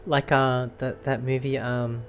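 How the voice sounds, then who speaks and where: A woman with a very low-pitched voice chats casually over a game she is playing. She drops in short, appreciative reactions in an informal way. Soft game music runs behind her. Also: flat delivery, a little slow, gravelly. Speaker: gaming streamer reacting while playing. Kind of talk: casual gameplay commentary.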